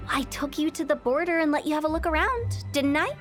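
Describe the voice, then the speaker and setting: A woman's voice: sits high, brisk, music in the background, close to an everyday voice. A woman voicing a playful, mischievous young funeral director in a video game, teasing and morbidly joking. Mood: smug. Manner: teasing, with a morbid sense of humor.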